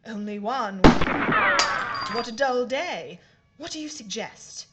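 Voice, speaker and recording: Actress as plucky aristocratic British detective, period audio drama. Refined, arch, higher-pitched, very expressive inflection. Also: female voice, slow. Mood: wry, unruffled. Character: plucky, witty aristocratic lady sleuth in wartime London. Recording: faint background noise and ambience.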